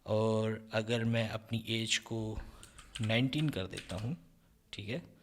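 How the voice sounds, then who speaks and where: A young man explaining programming step by step in a relaxed screen-recorded lesson, often checking in with listeners. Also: on the low side, slow pace. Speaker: programming tutor.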